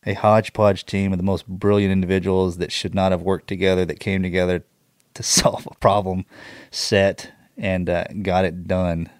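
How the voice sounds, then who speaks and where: Man, podcast host, lower voice, dry close mic, loose rambling delivery. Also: little pitch movement, normal speed, clear, resonant tone, no echo. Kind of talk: casual podcast hosting.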